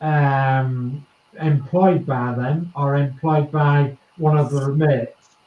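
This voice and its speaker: A man's voice: on the high side, steady tone, slow, reverberant, narrow, tinny audio. A man from East Yorkshire with a Yorkshire accent, hosting a casual group chat and rambling, with small chuckles between thoughts. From East Yorkshire, England. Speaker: host of a model-building chat.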